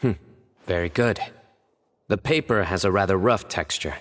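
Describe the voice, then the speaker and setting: A composed young male investigator played by an actor speaks in a deep voice. He is serious, deliberate and deadpan, with formal game-cutscene delivery in a long reverb over some noise. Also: close to an everyday voice. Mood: businesslike. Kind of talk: video game voice acting.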